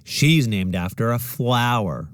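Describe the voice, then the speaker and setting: A man's voice: mid-range voice, expressive, takes it slowly, dry recording, studio quality. A man reading a storybook as a snooty little schoolgirl with a taunting, emphatic manner. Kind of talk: children's storybook read-aloud.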